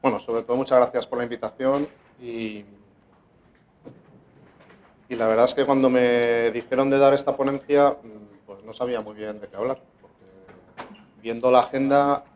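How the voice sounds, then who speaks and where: Middle-aged Spanish man presenting at a conference, relaxed and hesitant. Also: medium pitch, normal speed, pauses often. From Valencia, Spain. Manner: casual, hesitant with many fillers. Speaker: conference speaker. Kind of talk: conference talk.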